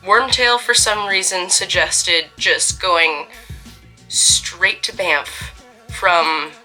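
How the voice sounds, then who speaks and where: Chatty, wry young woman, very bright voice. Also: on the low side, extremely slow, soft background music, rough recording. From Canada. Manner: chatty, wry and self-deprecating. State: getting over a cold. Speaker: vlogger telling a story. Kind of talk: casual story time.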